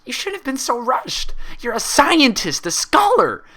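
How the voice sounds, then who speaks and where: A man's voice: extremely high pitch, huge pitch swings, a little slow, bright, forceful tone, voice pushed up, exaggerated voice. A man voicing an anguished young female pony in a fan story, scolding in an accusatory, emotional, emphatic way. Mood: furious.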